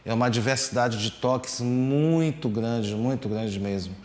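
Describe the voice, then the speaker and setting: A man from teaches percussion at an unhurried, drawn-out pace. He hesitates often, adds little tag questions, and sounds like he is recording in a boomy room. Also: darkish tone. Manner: slow, hesitant, many fillers and tag questions. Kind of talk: relaxed music lesson explanation. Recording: echoey room.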